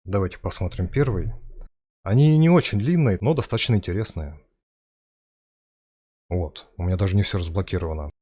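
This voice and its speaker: A man's voice: low-pitched, expressive, brisk, long pauses, warm tone, slightly rough, no echo. A man giving casual, chatty commentary while playing a video game. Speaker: let's play commentator.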